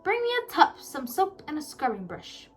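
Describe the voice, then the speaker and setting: A woman's voice: high-pitched, wildly swooping pitch, normal speed, soft background music, voice pushed up. A woman voicing a greedy, sneering female villain in a children's storybook, talking with a cackle.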